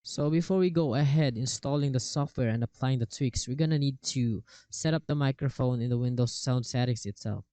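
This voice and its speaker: A man walks through a software tutorial in a mellow, relaxed voice. His sound is smooth and close, with very little room to it. The phrasing is casual and a bit halting as he moves from step to step. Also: medium pitch, dry recording. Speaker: tutorial host. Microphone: cheap desktop microphone with software processing.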